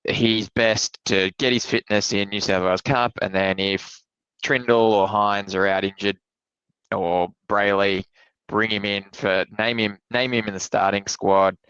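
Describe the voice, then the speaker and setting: Smooth, low-voiced Australian analyst. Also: male voice, a bit bright, no echo, muffled recording. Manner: measured, explanatory, with restarts. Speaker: podcast co-host. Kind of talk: casual sports analysis.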